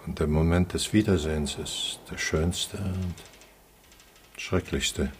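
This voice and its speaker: Deep, slow, musing philosopher. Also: male voice, fairly even intonation, slight background noise. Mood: sombre. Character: melancholy, philosophising man who believes in feeling. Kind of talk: radio drama dialogue.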